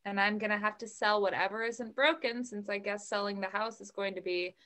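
A woman's voice: mid-range voice, steady tone, a little fast, fairly bright tone, close to an everyday voice. A young woman reading the part of a protective, wry widowed mother in a play over a video call. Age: young adult. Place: room at home. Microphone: computer mic on video call.

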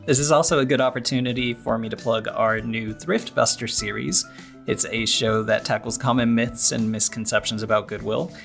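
A man's voice: mid-range voice, creaky, a bit bright. A man hosting a podcast, delivering scripted narration. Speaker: podcast host.

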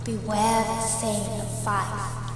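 Wailing, very slow apparition , acted by a woman. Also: mid-range voice, level tone, natural voice. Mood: urgent, warning. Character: ghostly armed head apparition, urgent warning. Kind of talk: theatrical radio-play verse. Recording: cavernous reverb, music behind.

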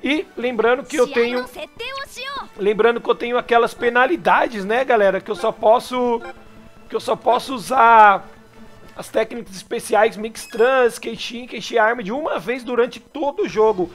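Hoarse Brazilian gamer over game music. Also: male voice, extremely high pitch, moderate pace. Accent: Brazilian Portuguese. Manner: excited, calls out special moves. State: hoarse from a cold. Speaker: gaming YouTuber. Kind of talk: let's play commentary.

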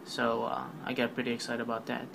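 Casual male narrator, monotone, rambling, noisy home voice-over. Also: medium pitch, fairly quick, creaky. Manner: offhand, rambling, filler-heavy. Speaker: tech video narrator. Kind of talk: casual voice-over recap of a keynote.

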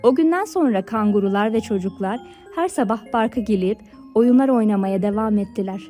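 A woman narrating a scripted fairy tale for children in a clear storybook manner. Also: medium pitch, fairly quick, mellow. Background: background music.

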